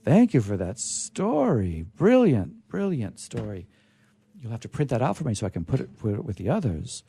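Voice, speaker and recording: Theatrical male radio host, very lively pitch. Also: normal speed, gravelly. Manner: florid, playful, theatrical. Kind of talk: live show sign-off, theatrical hosting.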